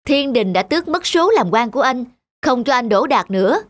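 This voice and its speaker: A woman's voice: a little high, expressive, normal speed. A woman voicing a humble, earnest elderly man who keeps a temple in a cartoon folktale. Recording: music behind the voice.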